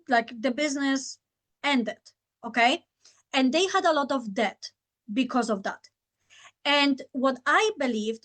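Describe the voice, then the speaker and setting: Woman, Polish accent, high-pitched, encouraging sales coach, casual live-stream talk. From Poland. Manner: chatty, encouraging, many fillers.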